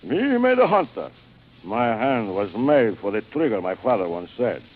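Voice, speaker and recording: Suave aristocratic hunter, muffled old radio. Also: male voice, mid-range voice, very animated, moderate pace. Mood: proud, boastful. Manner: courtly, formal, theatrical, savouring his words. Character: suave, proud, aristocratic big-game hunter general, obsessed with hunting. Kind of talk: 1940s radio acting. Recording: muffled, noisy vintage radio recording.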